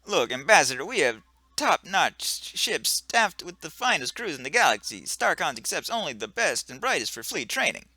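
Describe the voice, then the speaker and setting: A man's voice: on the high side, sing-song, moderate pace, sharp tone, husky, dry recording, full character voice. A man voicing a boastful, flirtatious starship captain in a comic game dub, with a smarmy manner and stumbles.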